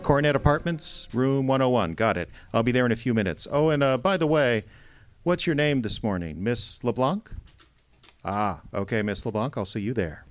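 An actor playing a world-weary, wry private detective in a radio drama, blunt and dry in manner. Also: male voice, mid-range voice, expressive, moderate pace, faint music behind, lightly acted. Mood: wry, businesslike. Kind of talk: old-time radio detective drama acting.

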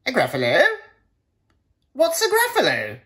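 A man's voice: very high-pitched, wildly swooping pitch, measured pace, a bit bright, pitched above the performer's own voice, exaggerated voice. A man voicing a sly, over-friendly male fox in a children's storybook. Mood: curious.

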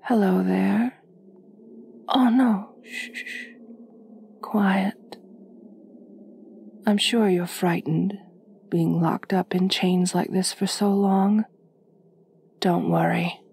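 An aristocratic female vampire, acted by a woman for an ASMR roleplay, speaks very slowly and softly in formal, soothing phrases. Her breathy voice has a marked creak and drops toward a whisper, gentle yet possessive. There is faint noise behind her. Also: mid-range voice, steady tone. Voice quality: soft, breathy, often near-whispered. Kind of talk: soft-spoken ASMR roleplay.

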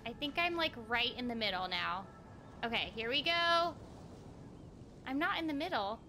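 High-voiced bubbly young woman streamer. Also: normal speed, a little hiss or hum. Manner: bubbly, animated, giggly. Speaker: game streamer. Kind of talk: casual livestream gameplay chat. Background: game music.

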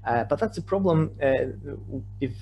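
A man from Poland with a Polish accent, a native Polish speaker, presenting slides in a halting way with many repeated words. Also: fairly high pitch, expressive, a little mellow, soft background music. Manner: hesitant, repeats words and stammers over phrase starts. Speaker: conference presenter. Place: remote online presentation with shared screen. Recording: clearly noisy online talk with hum.

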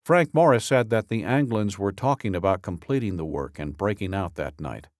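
A man with a fairly low voice narrates a true-crime audiobook. His delivery is measured and matter-of-fact, each phrase set down deliberately. The sound is dry and close to the microphone. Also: no echo. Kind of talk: scripted narration, reading a true-crime statement.

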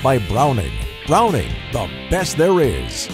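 Announcer, male, very animated pitch, upbeat polished reads, guitar music underneath. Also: takes it slowly. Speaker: show announcer. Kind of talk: scripted commercial voice-over.

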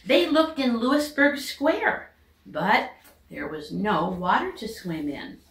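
Slow, animated American woman reading aloud. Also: mid-range voice. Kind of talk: expressive children's storybook narration. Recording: echoey home room recording.

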